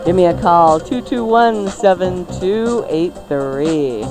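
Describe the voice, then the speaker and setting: Slow, low-pitched woman hosting radio. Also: lively intonation, over music, poor audio. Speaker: radio cooking show co-host. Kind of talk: playful radio show banter. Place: radio studio.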